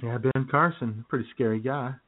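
A man's voice: mid-range voice, normal speed. Tinny-sounding wry male radio co-host. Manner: wry, chuckling, repeats phrases. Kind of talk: casual political banter. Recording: tinny, narrow phone-like sound.

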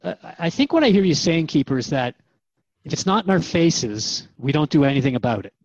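Radio host male actor, drama: searching, conversational, a little hesitant, slightly high voice, close dry recording. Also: sing-song, a little fast, darkish tone, no room sound, highs cut off. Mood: thoughtful, unsure. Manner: thoughtful, conversational, hesitant phrasing. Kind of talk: radio drama interview dialogue.

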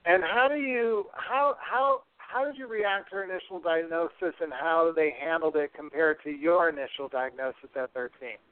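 A man's voice: on the high side, moderate pace, hardly any pauses, dry recording, narrow, tinny audio. A man hosting a podcast in a conversational, effusive and emphatic way. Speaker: podcast host.

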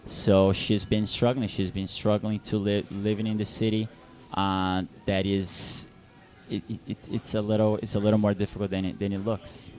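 A man's voice: fairly low pitch, level tone, moderate pace, darkish tone. A young Brazilian man with a Brazilian Portuguese accent, a film director answering interview questions, hesitant with many fillers and restarts.

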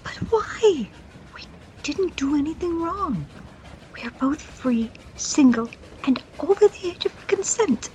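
A woman's voice: sits high, expressive, slow, background noise. An actress playing a polite, easily hurt young woman in a radio drama, earnest and plaintive. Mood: hurt, anxious. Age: young adult.

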